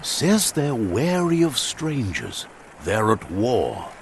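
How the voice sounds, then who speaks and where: A man doing scripted narration for a video game in a measured storytelling manner. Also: mid-range voice, huge pitch swings, creaky, slight background noise. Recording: game audio over music. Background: faint game music.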